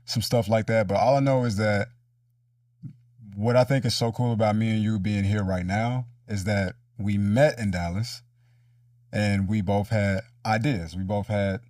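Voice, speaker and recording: A man's voice: fairly low pitch, steady tone, measured pace, a little mellow, dry recording, clean studio sound, recorded from a distance. A man hosting a casual, reflective conversational interview in informal language. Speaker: interviewer, show host.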